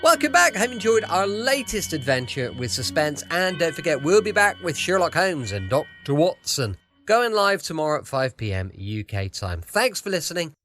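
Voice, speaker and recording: Faint music sits behind a male host with a fairly high, animated voice. He delivers a scripted, upbeat radio show sign-off. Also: normal speed. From England. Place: home studio.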